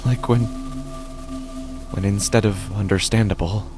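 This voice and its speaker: A man performing a horror podcast monologue as an obsessive, unravelling office worker, speaking with deliberate, intense pauses. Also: lowish voice, fairly even intonation, over music, natural voice. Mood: agitated, disbelieving. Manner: deliberate, intense, pausing and repeating words. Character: obsessive, increasingly unravelled male office worker narrating his dread. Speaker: horror fiction narrator. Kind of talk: dramatic first-person horror monologue.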